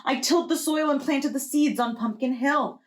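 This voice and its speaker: A woman's voice: high voice, moderate pace, pitched above the performer's own voice, close to an everyday voice. A woman voicing a proud, indignant old woman farmer from a storybook, exclaiming emphatically. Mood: insistent.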